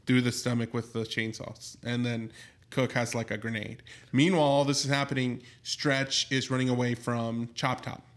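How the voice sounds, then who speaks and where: A young man chatting on a podcast in a relaxed, casual tone. He fumbles for words now and then and fills pauses with fillers. His voice sits in an ordinary middle range. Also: mid-range voice. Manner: relaxed, hesitant, many fillers and restarts while recapping. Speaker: podcast co-host. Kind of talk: casual chat.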